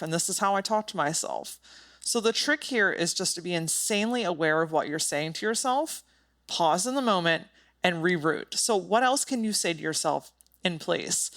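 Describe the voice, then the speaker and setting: A woman with a slightly low-pitched voice talks solo as a podcast host. She delivers motivational advice directly to the listener, stressing key words. Her wording is informal and blunt. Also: a bit bright. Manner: emphatic, direct, informal with casual swearing.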